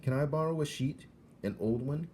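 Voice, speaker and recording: A man's voice: a little high, normal speed, dark tone, slight background noise, pitched above the performer's own voice, natural voice. A man voicing a polite, plucky young girl in a storybook reading. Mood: polite request.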